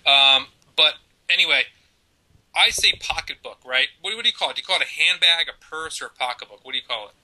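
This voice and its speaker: A man's voice: fairly high pitch, expressive, fast talker, pushed and bright, rough recording. A man in his thirties from Baltimore, co-hosting a casual parenting chat over a video call from a room at home, rambling and joking at his own expense. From Baltimore, US East Coast. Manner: rambling, joking, self-mocking. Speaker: podcast co-host. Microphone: headset on a video call.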